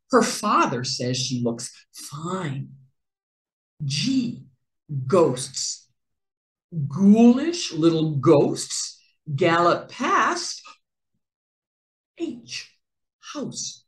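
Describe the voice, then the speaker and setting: Very animated, slow woman reading a picture book. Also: lowish voice, roomy sound. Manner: animated, deliberate storytelling with careful enunciation. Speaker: read-aloud host for children. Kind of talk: expressive children's picture book read-aloud.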